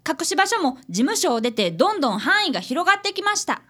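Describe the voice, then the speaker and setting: A woman's voice: extremely high pitch, big pitch swings, fast talker, fairly bright tone, exaggerated voice. A woman playing an earnest, polite grade-school boy apprentice detective with a Kansai accent in a radio drama, both narrating and in dialogue. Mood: earnest explaining. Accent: Kansai Japanese.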